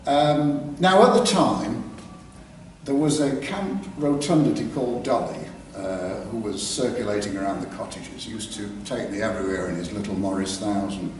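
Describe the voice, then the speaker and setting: An older man with an English East Midlands accent, telling a story live with careful diction and dramatic pauses. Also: on the low side, a little mellow. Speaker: author reading his own work. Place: live reading in a theatre clubroom. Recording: very echoey hall, distant mic, background noise.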